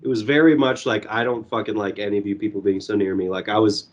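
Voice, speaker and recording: A young man reviewing a movie in casual podcast chat. His voice is hoarse and going, and he rambles with lots of fillers and swearing. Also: lowish voice, steady tone, fast.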